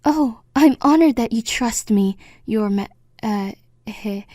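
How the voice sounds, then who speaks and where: Woman playing a slow-spoken, loyal royal confidante. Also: a little high, mellow, no echo. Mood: respectful, caring. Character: loyal female royal confidante, respectful, reassuring. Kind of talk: animated web series voice acting.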